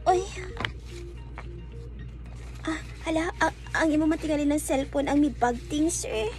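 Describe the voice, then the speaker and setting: High-pitched, giggly, naive young nurse. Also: female voice, a little mellow, soft background music. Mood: flustered, coy. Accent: Cebuano Filipino. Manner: coy, giggly, drawn-out exclamations. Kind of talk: Bisaya comedy radio drama acting.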